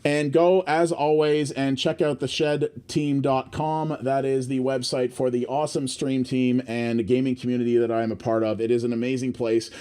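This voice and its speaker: A man's voice: mid-range voice, flat delivery, normal speed, hardly any pauses, dark tone, clear, resonant tone. A male streamer talks to his viewers in a casual, unscripted way, with a mid-range voice. He hosts an indie game show and tends to hedge and rephrase his points as he works through them. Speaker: host of an indie game livestream. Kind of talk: casual unscripted livestream commentary.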